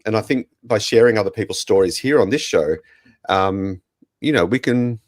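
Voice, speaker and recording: An Australian man with a croaky voice, casually hosting a podcast. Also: lowish voice, moderate pace, no echo. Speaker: podcast host.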